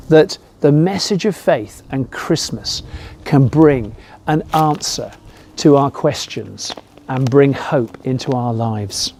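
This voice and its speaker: A man giving a reflective sermon as a rector, speaking in a measured way with rhetorical questions. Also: a little high, warm tone, slight background noise. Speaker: rector preaching a Christmas message.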